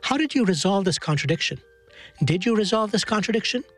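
A man hosting a radio show, asking questions and narrating in a measured, reflective way. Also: high voice, lively intonation, a bit bright, soft background music. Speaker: host. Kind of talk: scripted narration.

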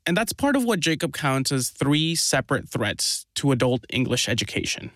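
A man hosting a podcast, calmly explaining his reporting to a co-host. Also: mid-range voice, moderate pace, dry recording. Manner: calm, informative.

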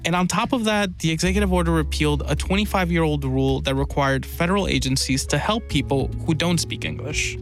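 Guy reporter on a news podcast, explains stuff, higher voice, faint music under. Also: brisk, few pauses. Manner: explanatory, lightly amused.

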